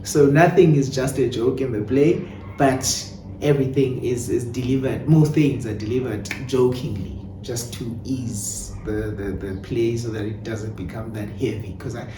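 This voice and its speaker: A South African man giving conversational interview answers as a playwright and director, telling things in an animated storytelling way. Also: mid-range voice, normal speed. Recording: echoey room, distant mic, clear background noise.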